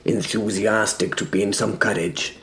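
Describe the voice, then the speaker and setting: A man's voice: on the low side, fairly even intonation, unhurried, fairly bright tone, slight background noise, pitched above the performer's own voice. A man voicing a frightened, earnest young medieval knight in an audio drama, hesitant and formal. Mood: sheepish.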